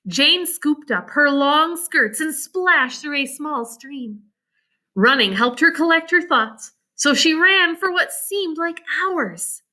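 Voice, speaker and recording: A woman's voice: sits high, bright, forceful tone. A woman teacher reading a children's story aloud, expressively and with teacherly emphasis.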